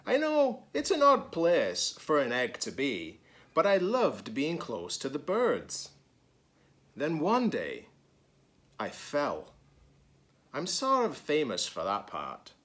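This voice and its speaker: A man voicing a wistful, anxious storybook egg who recounts his fall in an earnest, deliberate way. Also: fairly high pitch, wildly swooping pitch, a bit bright, voice pushed up, exaggerated voice. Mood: wistful, fond.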